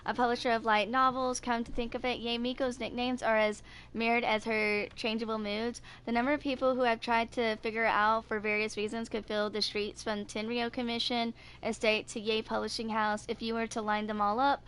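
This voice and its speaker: High, clear-voiced young woman game streamer. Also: flat delivery, fairly bright tone. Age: 20s. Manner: rambling, halting, repeats herself. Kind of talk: casual livestream chat with some reading aloud of game text.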